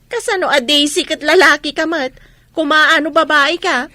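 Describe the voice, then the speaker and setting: A woman's voice: high-pitched, measured pace, bright, forceful tone, dry recording. A woman with an Ilocano accent playing a nosy, scolding, teasing village shopkeeper in a comedy radio drama.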